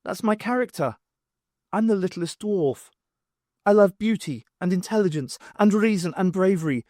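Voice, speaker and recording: A man's voice: high-pitched, animated, normal speed, dark tone, no room sound, studio quality, voice pushed up. A man voicing an adorable, lovestruck little dwarf in an audiobook, earnest and gushing. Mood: lovestruck, bashful.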